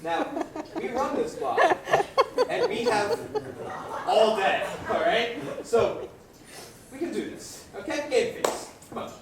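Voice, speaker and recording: A woman's voice: sits high, huge pitch swings, slow pace, gravelly, over a noisy crowd, heavily acted. A young woman playing an eager, loyal, slightly dim little boy in a student stage comedy, talking like a pep coach. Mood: rallying. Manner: pep-talking, repeats right and okay. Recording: very echoey hall, distant mic, faint noise.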